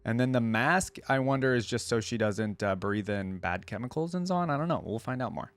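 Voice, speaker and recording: A man with a mid-range voice recorded dry and close, as a reaction host. He moves between reading text aloud and casual commentary, thinking out loud and often tagging his thoughts with a quick question. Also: medium pitch, lively intonation, dry recording.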